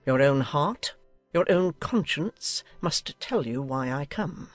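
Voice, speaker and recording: A woman's voice: sits low, lively intonation, slow pace, no room sound, soft background music. A woman playing a haughty, imperious, ill-tempered older noblewoman in an audiobook drama, speaking in a stiff, formal, commanding way. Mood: angry, imperious.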